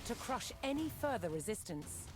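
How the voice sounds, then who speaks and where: Woman narrating history over loud music. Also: on the high side, big pitch swings. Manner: measured, dramatic storytelling. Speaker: documentary narrator. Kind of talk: scripted historical documentary narration. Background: loud cinematic background music.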